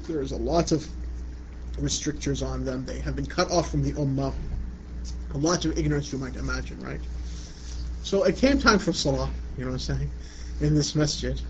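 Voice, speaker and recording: A man's voice: medium pitch, moderate pace, pauses often, darkish tone. Man from Iraq lecturing, casual and chatty. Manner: conversational, repeats "you know what I'm saying". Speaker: Islamic lecturer. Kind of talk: religious lecture answering a question. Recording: muffled lo-fi recording with light background noise.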